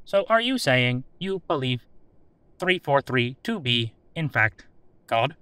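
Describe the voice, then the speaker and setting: Audio drama casting: male actor as aging sentient computer, formal, literal, stilted delivery, slow pace, dry close sound. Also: mid-range voice, very animated, sharp tone, clear, resonant tone, no room sound, exaggerated voice. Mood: measured, curious. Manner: stilted, formal, deliberate phrasing without contractions. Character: old sentient AI computer, formal, literal, dryly attempting humor. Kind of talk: scripted audio drama interview.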